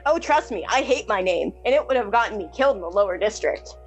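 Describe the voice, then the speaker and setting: A woman's voice: mid-range voice, animated, quick pace. A woman playing in a tabletop roleplaying game, bantering casually in character with a giggly, teasing manner. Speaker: player in a tabletop roleplaying podcast. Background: music.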